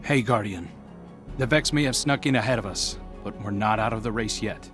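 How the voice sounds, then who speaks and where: A man performs a small floating robot companion with a friendly, wry delivery. His voice sits a little low, and he talks briskly and helpfully. Loud game music plays underneath. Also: close to an everyday voice. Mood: wry encouragement. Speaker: video game character. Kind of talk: game cutscene voice acting.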